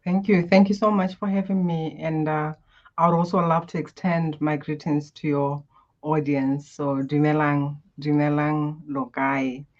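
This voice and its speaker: Expressive woman. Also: sits low, unhurried, dull audio. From Botswana. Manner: expressive, guides listeners through breathing. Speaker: guest coach. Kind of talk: animated interview answers.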